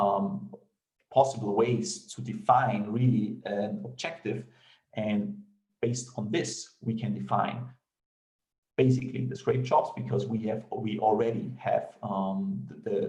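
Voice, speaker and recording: A man with an Austrian German accent explains software at a conference, stopping often on fillers and repeated words. His voice sits a little low. It carries a roomy echo from the room he speaks in. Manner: hesitant, many fillers and restarts. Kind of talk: technical talk.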